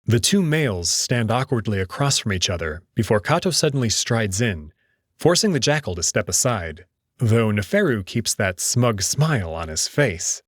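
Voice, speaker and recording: A man's voice: lowish voice, no room sound, clean studio sound. A man reading scripted first-person story narration in a dramatic style, as the narrator.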